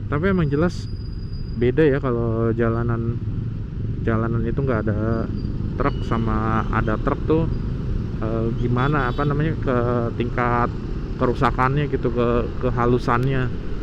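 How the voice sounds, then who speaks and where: Clear road and engine noise surrounds a man from with a mid-pitched voice. He rambles casually to his viewers like friends, speaking into a helmet intercom as he rides a motorbike outdoors. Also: steady tone, moderate pace, pauses often, lo-fi sound. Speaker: motovlogger. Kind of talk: casual chat while riding a motorbike. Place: outdoors on a motorbike in city traffic. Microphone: helmet intercom mic wired to action camera.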